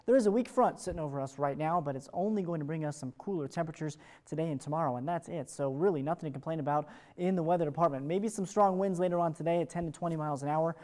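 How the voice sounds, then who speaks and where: Fast-talking American male TV weather forecaster. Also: on the high side, warm tone. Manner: chatty, upbeat broadcast delivery. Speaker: television morning show meteorologist. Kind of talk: ad-libbed weather forecast presentation.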